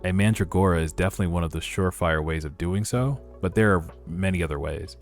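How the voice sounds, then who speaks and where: A man reading as a low-voiced, determined young sorcerer. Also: quick pace. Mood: calm, resolved. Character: reincarnated young male sorcerer, determined and calculating. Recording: music under the voice.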